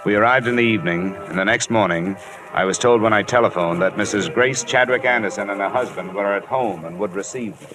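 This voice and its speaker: An actor playing a tough, no-nonsense investigator in an old radio detective drama, speaking in a clipped, matter-of-fact way. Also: male voice, fairly low pitch, brisk, natural voice, rough recording. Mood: matter-of-fact narration. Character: hard-boiled, no-nonsense male insurance investigator narrating his case. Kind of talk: 1940s-50s radio detective drama acting. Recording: old radio recording with music.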